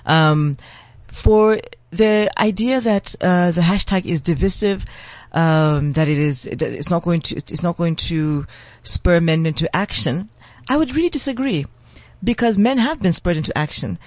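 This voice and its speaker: A man speaks as a talk show guest, making his case with force and emphasis and sometimes rushing ahead in quick bursts. His voice sits rather high for a man, and the recording is close and dry. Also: expressive, no room sound. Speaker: guest commentator. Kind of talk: impassioned opinion in a talk show.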